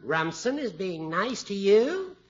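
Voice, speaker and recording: An actor playing a chipper old small-town judge in a 1950s radio comedy, kindly, teasing and given to flowery oratory. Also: male voice, sits high, big pitch swings, slow pace, exaggerated voice. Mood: earnest, florid. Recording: dull old radio recording.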